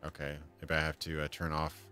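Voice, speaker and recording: A man with a low, deep voice talks easily through a livestreamed game, chuckling often and answering his chat between moves. Soft game music runs underneath him from a home setup. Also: completely flat delivery, fairly quick. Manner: chatty, laughs often, reads chat aloud. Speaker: livestream gamer. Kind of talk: casual let's-play chat. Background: faint game music.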